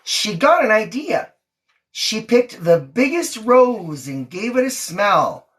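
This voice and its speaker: Female storybook reader, unhurried and animated, expressive delivery for young listeners. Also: medium pitch. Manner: expressive, acting out characters' lines. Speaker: mother reading to her daughter on a livestream. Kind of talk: storybook read-aloud.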